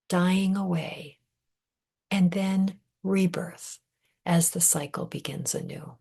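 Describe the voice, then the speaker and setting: A woman leading a guided meditation in a gentle, measured way, breathy and husky, often trailing off into a whisper with deliberate pauses. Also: lowish voice. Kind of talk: guided meditation, soothing scripted narration.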